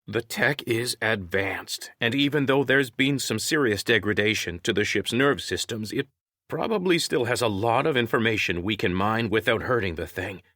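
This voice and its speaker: A man's voice: fairly low pitch, lively intonation, normal speed, sharp tone, no room sound, clean studio sound. A man voicing a wry, knowledgeable crewmate who explains things in an audiobook story. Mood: explaining.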